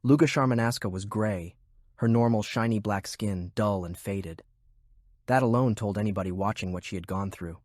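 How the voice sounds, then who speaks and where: A man's voice: lowish voice, moderate pace, a little mellow, dry recording, clean studio sound. A man reading scripted narration of military fantasy fiction as an audiobook narrator.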